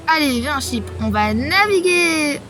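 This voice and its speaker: A woman voicing a blunt, adventurous young pupil in a French animated fan dub. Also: high voice, takes it slowly, loud music behind the voice. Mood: eager.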